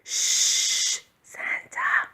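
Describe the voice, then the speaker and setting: A gently scolding creature from a bedtime picture book, put on by a woman. It shushes in an airy whisper and follows with an earnest warning, hushed but insistent. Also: extremely slow, heavily acted. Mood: urgent hushing. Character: hushing storybook animals and snowman, gently scolding Santa.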